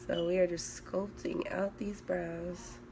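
A woman in her thirties from Sweden, a makeup artist chatting on a live stream from home with a hoarse, recovering voice while she works and reads out viewer comments. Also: fairly low pitch, level tone, takes it slowly, mellow, a little hiss or hum. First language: Swedish. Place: indoors at home, small room. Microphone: phone at arm's length.

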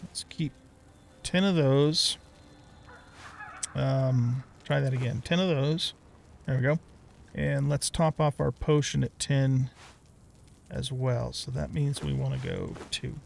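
A man's voice: medium pitch, fairly even intonation, slow, gravelly, long pauses, darkish tone, slight background noise. A middle-aged man giving casual let's play commentary, rambling as he thinks aloud.

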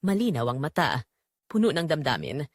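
Animated woman narrating a dramatic story. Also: sits low, moderate pace, no echo, clean studio sound.

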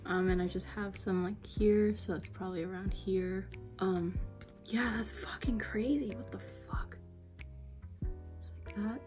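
A young woman chatting casually to her camera in a vlog, candid and quick to swear, sometimes dropping to a whisper. Her voice is wearing thin after a long day, and faint music plays underneath. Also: medium pitch, fairly even intonation, a little mellow. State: losing her voice. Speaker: beauty vlogger.

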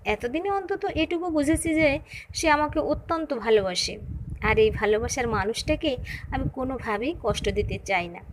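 A woman's voice: on the high side, lively intonation, moderate pace, slight background noise. A woman reading a scripted first-person short story as narrator. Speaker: story reader.

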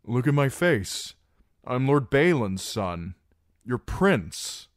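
A man's voice: mid-range voice, very animated, slow, dry recording, clean studio sound, pitched above the performer's own voice. Audiobook: male narrator doing a strained, broken young captive, lifted above his reading voice. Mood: pleading. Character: broken young man posing as a prince.

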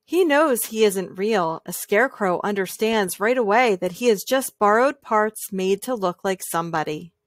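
A woman reading a children's picture book aloud in a calm, gentle, measured way, pausing between phrases. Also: medium pitch, no echo, clean studio sound. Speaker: read-aloud storyteller.

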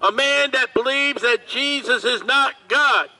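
Man, street preacher, very high and piercing, slow drawn-out open-air sermon. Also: bright, forceful tone. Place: outdoors at a street market.

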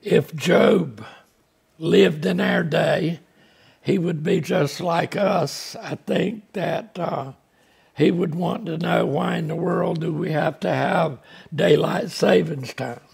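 A man's voice: on the high side, slow, vocal fry, a little mellow, husky. An older man preaching a folksy, plainspoken sermon from the pulpit of a small church, speaking through a lapel mic. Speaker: pastor.

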